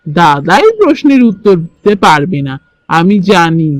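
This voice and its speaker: A man's voice: a little high, big pitch swings, measured pace, no room sound. A man voicing a cunning, greedy younger brother bird in a children's cartoon, scheming and self-assured. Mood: smug, confident.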